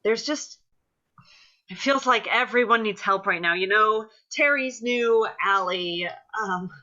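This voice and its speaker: A woman's voice: mid-range voice, unhurried, bright, forceful tone, dull audio. A woman playing an earnest, placating activist group leader in a play reading, hesitant and often trailing off.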